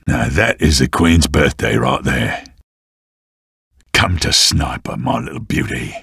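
An actor playing a brash, gruff Australian sniper in a video game, with a gravelly, raspy voice and cocky slang-heavy delivery. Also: male voice, very deep voice, sing-song, takes it slowly, bright, forceful tone, dry recording, studio quality, heavily acted. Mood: pleased, savouring. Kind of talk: video game character voice lines.

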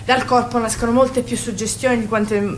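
Higher-pitched woman as interview guest. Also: fairly even intonation, normal speed. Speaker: stage actress being interviewed. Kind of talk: conversational radio interview. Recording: faint ambient background noise.

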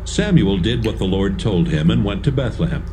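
A man's voice: lowish voice, animated, moderate pace, mellow, slight background noise. A man narrating a dramatised audio Bible from a script.

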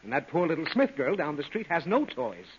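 High-pitched exasperated radio dad. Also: male voice, very animated, brisk, slightly rough, full character voice. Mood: earnest lecturing. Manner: groaning, pleading, exasperated. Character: exasperated, suffering, headachy father of little girl. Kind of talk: 1930s radio comedy acting. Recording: muffled, hissy old 1930s radio broadcast.

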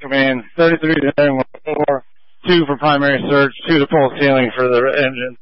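A man's voice: medium pitch, little pitch movement, moderate pace, dry recording, muffled recording. A man giving clipped firefighter reports over a two-way radio.

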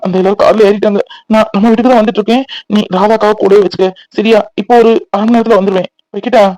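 Guy playing a young husband, sorry and hurried, fairly high voice, quick, dry close sound. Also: fairly even intonation, a little mellow, no room sound, natural voice, low-quality recording. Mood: apologetic. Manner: apologetic, hurried, reassuring. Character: anxious young husband phoning his wife. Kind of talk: Tamil domestic audio drama.

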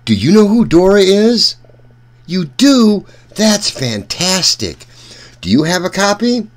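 A man reading a storybook aloud for children in an animated, deliberate way, speaking directly to young listeners. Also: sits high.

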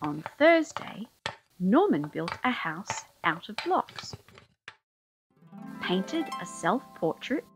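A woman's voice: medium pitch, big pitch swings, slow, pauses often, over music. A woman reading a children's picture book aloud as storyteller, expressively, drawing out key words for emphasis.

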